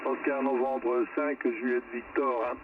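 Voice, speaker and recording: A man's voice: mid-range voice, steady tone, measured pace. A man chatting casually as an amateur radio operator, explaining things in a rambling way over a shortwave link. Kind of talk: casual ham radio contact. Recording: distant narrow-band shortwave radio, faint static.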